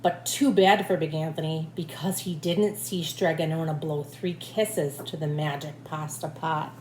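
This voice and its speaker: A woman reading a storybook aloud like a teacher, with expressive, deliberate phrasing for children. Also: low-pitched. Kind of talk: storybook read-aloud narration. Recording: echoey room, faint background noise.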